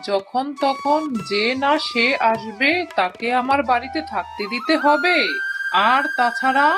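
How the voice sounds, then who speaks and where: A woman's voice: high-pitched, noisy background. A woman voicing a haughty, cruel tigress in a cartoon, with a scornful and bossy manner. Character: haughty, cruel tigress, well-off neighbour who scorns the poor. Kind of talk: cartoon villain voice acting. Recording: music behind the voice.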